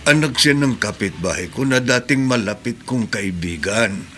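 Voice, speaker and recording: A man's voice: mid-range voice, lively intonation, moderate pace, sharp tone, slight background noise. An actor playing a regretful, bitter older man in a radio drama, speaking heavily. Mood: somber.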